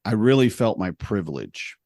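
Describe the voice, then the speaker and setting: A man telling a travel story in casual conversation, animated and poking fun at himself. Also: lowish voice, moderate pace, no room sound, studio quality. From United States. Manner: animated, self-deprecating, humorous storyteller.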